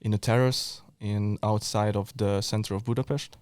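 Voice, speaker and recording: A man's voice: lowish voice, fairly even intonation, moderate pace, darkish tone, clean tone, no room sound, clean studio sound. A young man from Hungary with a Hungarian accent, co-hosting a casual podcast in English, a non-native speaker who hesitates with fillers and restarts. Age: young adult. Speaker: podcast co-host. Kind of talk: casual chat. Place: indoor room, seated in front of camera.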